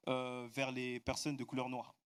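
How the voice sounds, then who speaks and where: A man's voice: medium pitch, completely flat delivery, moderate pace, clean tone. A man with an ordinary mid-range voice interprets a sermon phrase by phrase. He hesitates often, filling gaps and correcting himself as he goes. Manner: hesitant, frequent fillers and self-corrections. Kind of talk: consecutive interpreting into French.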